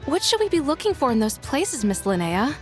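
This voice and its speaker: A polite, measured guide. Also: female voice, sits high, sing-song, music in the background. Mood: calm. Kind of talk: video game cutscene dialogue.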